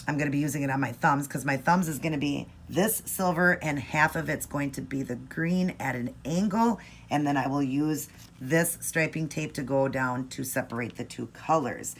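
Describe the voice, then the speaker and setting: Low raspy woman, hoarse voice, chatty nail tutorial vibe, explains every step. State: hoarse from laryngitis. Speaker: nail art vlogger. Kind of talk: casual tutorial chat.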